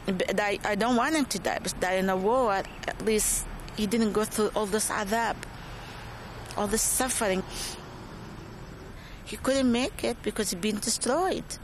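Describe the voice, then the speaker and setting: Middle-aged woman, halting English. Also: mid-range voice. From Palestinian, raised in Iraq. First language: Arabic. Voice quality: halting, repetitive broken English. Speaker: interviewee, mother. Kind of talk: emotional personal testimony. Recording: noisy background ambience.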